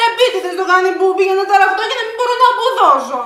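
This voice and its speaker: Woman doing a cypriot-accent diva wife, whiny and dramatic, super high voice, echoey room far off mic. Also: lively intonation, bright, forceful tone, full character voice. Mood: sulky. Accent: Cypriot Greek. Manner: whiny, theatrical complaining. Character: whiny Cypriot wife, aspiring nightclub singer. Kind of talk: comedy sketch acting.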